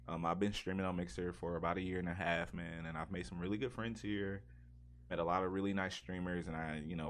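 A man with a very deep voice hosts a casual gaming-style podcast. He speaks informally, like a streamer chatting to regulars, and drops slang into his sentences.

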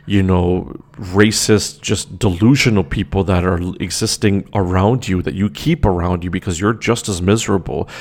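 Canadian guy doing his solo show, low gravelly voice, lots of uhs and restarts. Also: a little mellow. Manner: emphatic, many fillers and restarts. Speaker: podcast host. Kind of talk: opinionated solo commentary. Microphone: desk microphone.

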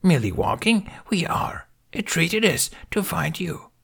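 Man putting on a tiny old alien master, high airy half-whisper, gravelly edge, backward riddling sentences, audiobook voice. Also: sing-song, moderate pace, heavily acted. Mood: serene. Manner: inverted word order, gentle and riddling. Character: tiny ancient green alien master, serene. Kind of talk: audiobook character voice.

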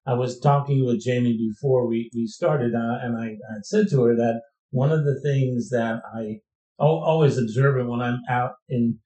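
A man's voice: medium pitch, dark tone. Chatty male podcast host, roomy echo. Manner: chatty, rambling with restarts. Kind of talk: friendly interview podcast.

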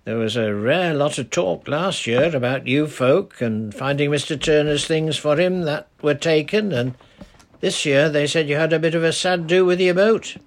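A man's voice: fairly high pitch, moderate pace, voice pushed up, close to an everyday voice. A man voicing a kindly old charcoal burner with a northern English dialect, homely and plain-spoken. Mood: chatty.

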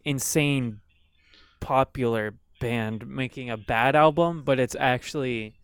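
A man's voice: mid-range voice, slow pace, smooth. A man co-hosting a casual album review podcast, speaking bluntly, swearing casually and laughing easily. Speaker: podcast co-host.

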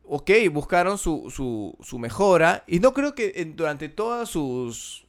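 Peruvian guy chatting on a podcast, kinda high voice, gets worked up, keeps restarting. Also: slow. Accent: Peruvian Spanish. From Peru. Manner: animated, irritated, repeats words and restarts. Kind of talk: casual chat.

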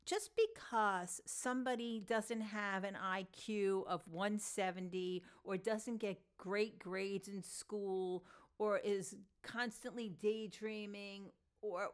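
A woman's voice: medium pitch, expressive, slow, clean tone. A woman with a Long Island New York accent hosting an informal solo talk as a teacher, emphatic and repeating phrases for stress. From Long Island, New York, United States. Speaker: podcast host and yoga philosophy teacher. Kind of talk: informal solo spoken lecture.

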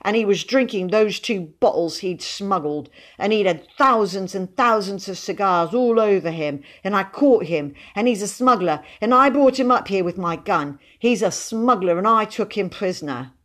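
A woman's voice: mid-range voice, big pitch swings, moderate pace, voice pushed up. A woman voicing an earnest, proud and stubborn little boy in an audiobook, with dogged, childish, run-on speech. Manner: dogged, breathless run-on boasting, childish grammar. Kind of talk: audiobook character voice.

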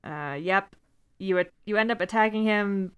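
A woman's voice: medium pitch, moderate pace, fairly bright tone, clear, resonant tone, dull audio. A woman talking casually on a podcast with dry, blunt humour.

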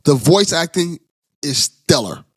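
A man's voice: a little high, expressive, slow pace, breathy edge, no echo. A man hosting a podcast, chatting casually and enthusiastically with a guest. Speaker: podcast host. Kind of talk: casual interview chat.

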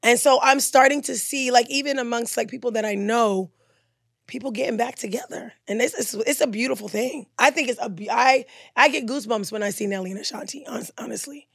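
A woman's voice: on the high side, a little fast, vocal fry, no echo, studio quality. A young woman co-hosting a casual podcast about bar life. She gushes, repeats herself and restarts her sentences a lot. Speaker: podcast co-host and bartender. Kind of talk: casual chat.

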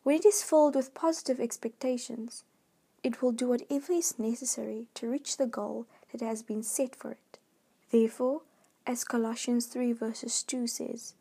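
A woman reading a scripted devotional in a measured, earnest way. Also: a little high, warm tone, slightly rough. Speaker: devotional reader.

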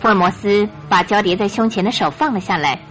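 A woman's voice: mid-range voice, quick pace. A woman narrating a scripted radio drama as a storyteller. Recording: radio drama with music underneath.

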